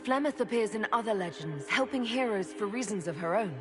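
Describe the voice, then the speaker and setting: An elven mage heroine acted by a woman speaks in a middle-pitched voice. She is earnest and direct, questioning as she goes, in the manner of a fantasy game protagonist. Music is clearly audible behind her. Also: lively intonation, fairly quick, close to an everyday voice. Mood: earnest, reproachful. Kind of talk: dramatic video game voice acting. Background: background music.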